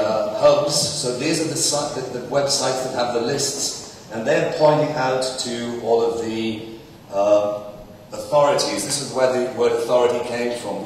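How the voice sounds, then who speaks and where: A man presenting to a conference audience, projecting loudly and filling pauses with ums. He sounds distant from the mic, and his voice rings through a large hall with a long echo. A faint hiss sits underneath. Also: mid-range voice. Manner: loud, energetic presenter with fillers. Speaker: conference speaker. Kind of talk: conference talk on search. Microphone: distant, mic trouble. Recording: echoey hall from far off.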